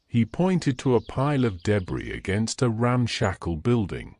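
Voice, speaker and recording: A man's voice: fairly low pitch, huge pitch swings, moderate pace, a little mellow, no room sound. A man narrating a scripted fantasy story as its storyteller.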